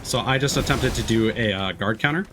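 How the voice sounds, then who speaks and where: A man's voice: fairly low pitch, brisk, noisy background. A male livestreamer giving casual gameplay commentary, thinking aloud in a rambling, hesitant way. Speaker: video game livestreamer. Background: game music.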